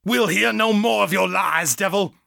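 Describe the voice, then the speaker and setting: A man's voice: sits high, moderate pace, vocal fry, bright, forceful tone, no room sound, clean studio sound, voice pushed up, full character voice. A man voicing a bold male preacher who thunders commands while staging an exorcism. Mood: thundering.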